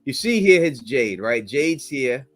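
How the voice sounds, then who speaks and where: A man's voice: medium pitch, sing-song, a little slow, no room sound, soft background music. A man co-hosting a casual podcast, talking emphatically and repeating himself as he pushes his theories. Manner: emphatic, repetitive, insistent theorizing. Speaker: co-host breaking down a trailer.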